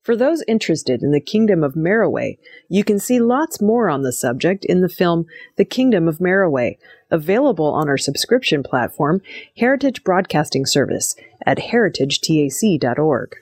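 A woman's voice: lowish voice, big pitch swings, normal speed, a little mellow, no room sound, studio quality. A woman reading scripted news narration as the presenter of an audio news program. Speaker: news reader.

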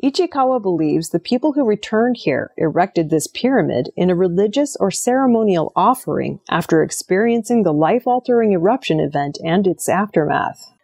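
A woman's voice: lowish voice, animated, normal speed, creaky, a little mellow. A woman reading scripted news narration as a news presenter. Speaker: news reader.

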